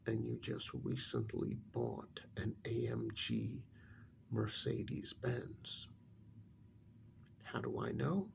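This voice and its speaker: A man acting as a patient, exasperated male couples therapist in an ASMR role-play. His soft voice is heavy with gravelly fry and moves slowly and haltingly. He gently reproves with a lively rise and fall in pitch. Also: a little hiss or hum, exaggerated voice. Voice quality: soft, breathy at times, gravelly creak.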